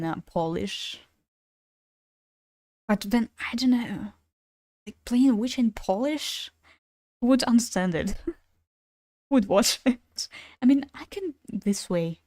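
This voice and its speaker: A woman's voice: medium pitch, darkish tone, no echo. Young ukrainian-native woman chatting on stream, casual, swears a bit, dry close sound. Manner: chatty, swears casually, laughs between thoughts. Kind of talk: casual gaming stream chat.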